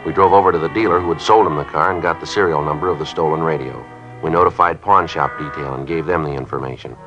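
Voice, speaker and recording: An American actor playing a stoic, businesslike police sergeant in a 1950s radio drama, terse and matter-of-fact. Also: male voice, extremely low pitch, fast. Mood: flatly factual. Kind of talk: 1950s radio acting, clipped procedural narration. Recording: muffled old radio recording with music.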